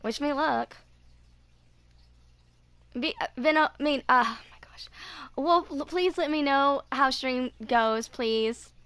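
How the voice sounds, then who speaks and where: Bright, creaky-voiced young woman streaming games. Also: high voice, takes it slowly, pauses often, dry recording. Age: 20s. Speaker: video game streamer. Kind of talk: casual live gameplay chat.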